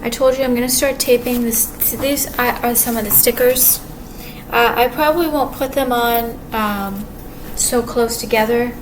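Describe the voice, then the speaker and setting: A woman with a quiet voice talks casually to camera, rambling and halting with many restarts and fillers. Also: on the high side, steady tone. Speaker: vlogger. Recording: clear background ambience noise.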